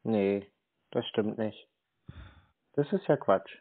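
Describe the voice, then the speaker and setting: A man's voice: lowish voice, slow pace, a crowd in the background. A man on a phone call with a scam caller, speaking in a dry, curt, skeptical way. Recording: tinny phone line.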